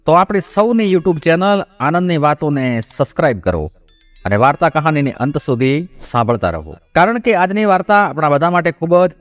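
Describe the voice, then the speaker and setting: A man narrates a devotional moral story in a measured, expository way, addressing his viewers directly. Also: a little high, sing-song, no room sound. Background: background music.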